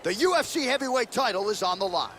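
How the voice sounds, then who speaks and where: A man calling a heavyweight fight as play-by-play commentator, with an excited, declamatory delivery. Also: sits high, fast. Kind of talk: sports commentary for a video game. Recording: loud arena crowd and noise behind.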